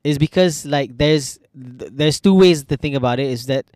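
A young man with a Malaysian English accent, chatting casually, opinionated and halting with frequent restarts. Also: fairly high pitch, darkish tone, dry recording, studio quality. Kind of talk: casual chat.

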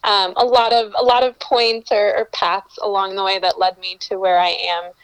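A woman's voice: mid-range voice, little pitch movement, normal speed, clear, resonant tone, no room sound, rough recording. A woman from Colombia, a guest calling in to an interview, answering in an earnest, reflective way and often restarting her phrases. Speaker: guest, entrepreneur. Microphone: remote call-in.

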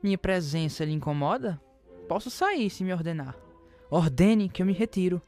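Man acting a high-voiced, proud radionovela husband. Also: expressive, moderate pace, a little mellow, soft background music. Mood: wounded, defiant. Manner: formal, theatrical. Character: proud, wounded husband in a period melodrama.

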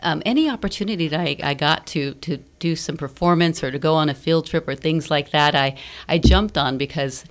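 Female theater director guest, American, low voice, conversational, thoughtful and a little halting. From Fresno, California, United States. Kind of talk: conversational interview answers. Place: radio studio.

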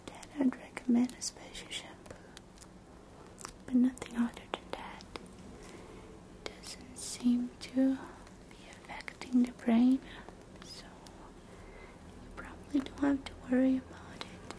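A woman whispers right at the binaural mic as a calm doctor in an ASMR roleplay. Her voice sits high and mellow, with little rise and fall. She talks slowly and reassures, like gentle bedside talk. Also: rough recording. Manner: soft close whispering. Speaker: ASMR creator role-playing a doctor.

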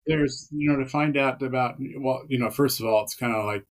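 A man's voice: medium pitch, fairly quick. A man chatting as a podcast guest, rambling with many fillers and restarts. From Pacific Northwest of the US. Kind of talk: casual interview chat.

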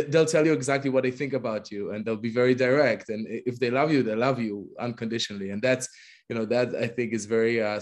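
A man's voice: mid-range voice, darkish tone. A man in his thirties from Israel with an Israeli accent, speaking as an interview guest, hesitant with many fillers and restarts. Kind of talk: conversational interview.

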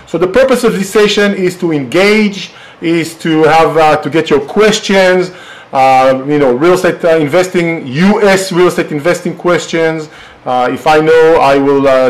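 A middle-aged man whose first language is Hebrew, hosting a live real estate investing Q and A in a halting way with many fillers. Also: on the high side, poor audio. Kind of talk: live Q and A session.

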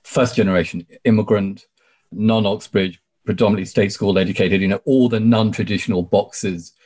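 A man's voice: on the low side, steady tone, moderate pace, a little mellow. A British man in his forties telling his story as an interview guest, emphatic and effusive, peppering it with "you know". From UK, born in Sri Lanka. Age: 40s. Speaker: podcast guest, barrister.

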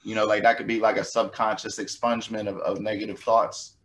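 A man hosting a casual interview, stammering and circling his way toward each question in a halting manner. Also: lowish voice, little pitch movement, slight background noise. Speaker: interview show host.

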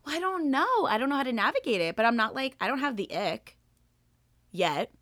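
A young woman co-hosting a casual podcast, talking in a rambling way with lots of fillers and a slightly raspy voice. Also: sits high, big pitch swings, brisk, a bit bright, dry recording, clean studio sound. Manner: rambling, many fillers, laughs often. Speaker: podcast co-host. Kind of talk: casual chat.

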